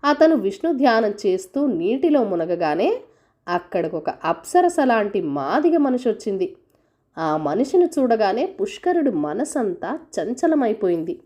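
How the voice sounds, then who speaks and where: A woman's voice: medium pitch, sing-song, unhurried, a little mellow. A woman narrating a scripted folk tale for children as a storyteller.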